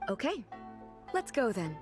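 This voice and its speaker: Composed, lilting divine ruler. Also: female voice, on the high side, big pitch swings, music in the background. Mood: calm, assured. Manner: formal, measured, matter-of-fact. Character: composed, earnest female divine ruler, naively curious. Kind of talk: English video game voice acting.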